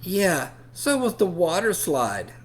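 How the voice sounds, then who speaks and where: Man putting on an excitable, high-pitched young schoolkid. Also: full character voice. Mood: excited. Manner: eager, exclaiming, drawn-out calls.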